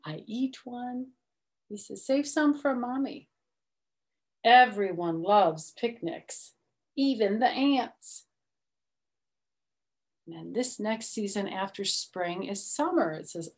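Woman, middle-aged, unhurried pace, expressive storytime reading, simple chatty asides for little kids. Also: mid-range voice. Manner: expressive, slow, simple explaining for young children. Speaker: storytime reader. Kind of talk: children's picture book read-aloud with chatty asides. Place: small room at home, indoors.

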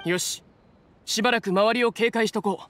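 An actor playing a vigilant, determined young citizen on watch in a Japanese video game, serious and assertive. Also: male voice, sits high, steady tone, moderate pace, fairly bright tone, no echo. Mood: watchful.

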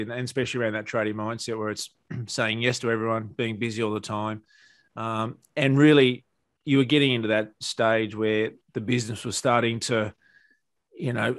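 Low-voiced man hosting a business interview. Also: fairly bright tone, no echo. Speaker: business coach interviewing a client. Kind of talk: conversational podcast interview. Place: small home office on a video call. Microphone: headset or desk mic, close.

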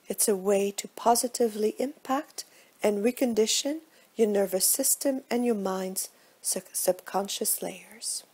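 A woman guiding a relaxation meditation in a soft, breathy voice, with measured, hushed delivery and gentle phrase endings. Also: medium pitch. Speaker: yoga nidra meditation guide. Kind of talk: guided relaxation meditation, scripted instruction.

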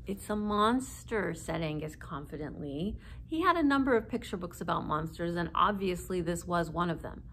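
A woman's voice: on the low side, expressive, normal speed, few pauses, fairly bright tone. Woman reading a children's book aloud. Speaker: library story reader. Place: small indoor room, seated. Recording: faint background noise.

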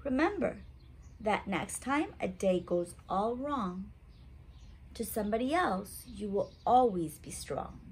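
A woman's voice: medium pitch, takes it slowly, a little hiss or hum. A woman in her thirties hosting story time for young children, speaking expressively and simply, as if straight to a child, in a room at home. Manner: expressive, simple child-directed talk with questions. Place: small room at home, indoors.

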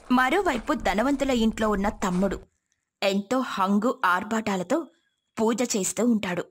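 A woman's voice: mid-range voice, husky. A woman telling a moral tale as a scripted storyteller.

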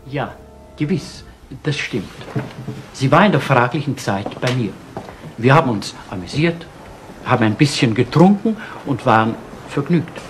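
A man's voice: medium pitch, expressive, soft background music, close to an everyday voice. Smug, pedantic professor played by a man. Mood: smug, guarded. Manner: measured, stilted, pedantic. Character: genteel, smug male professor, evasive and formal. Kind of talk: 1970s German crime radio drama acting. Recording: noisy vintage radio drama recording.

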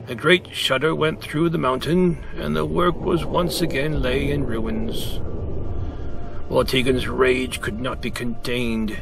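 A man reading a storybook aloud in a deliberate, theatrical narrating style. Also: medium pitch, creaky. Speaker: story reader.

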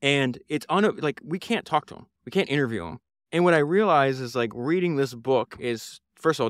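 Man, earnest rambling podcast talk, smooth resonant voice, dry close mic. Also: mid-range voice, dry recording. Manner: earnest, rambling, many fillers and restarts. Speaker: podcast host. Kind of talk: solo podcast book review.

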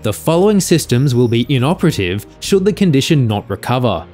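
A man narrates scripted technical explanation in a measured, formal and factual way. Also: mid-range voice, big pitch swings, a little mellow. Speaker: video narrator. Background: faint music.

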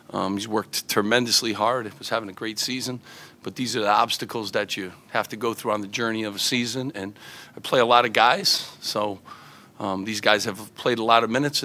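A man's voice: on the low side, bright, forceful tone. A middle-aged man, a head basketball coach, answering reporters at a postgame press conference, earnest and full of fillers and restarts, into table microphones.

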